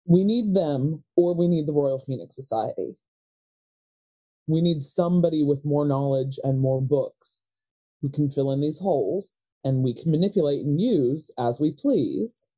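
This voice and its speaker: Woman playing a dark-voiced, shrewd occult sister. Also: low voice, unhurried. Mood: shrewd, pragmatic. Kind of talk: improvised tabletop horror roleplay.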